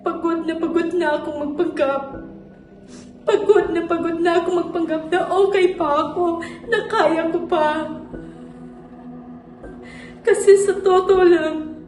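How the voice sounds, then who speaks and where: A young woman playing a halting, despairing student. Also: very high voice, fairly even intonation, a little mellow. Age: young adult. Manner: halting, repeats her words, trails off. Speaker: student actor. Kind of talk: stage monologue. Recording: echoey room, distant mic, music.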